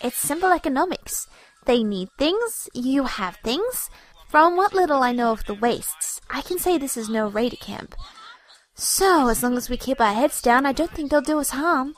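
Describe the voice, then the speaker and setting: A woman voicing an earnest, optimistic young pony scientist in an audio drama, speaking gently and precisely. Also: sits high, animated, moderate pace, soft background music, poor audio. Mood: reasoning. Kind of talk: scripted audio drama dialogue.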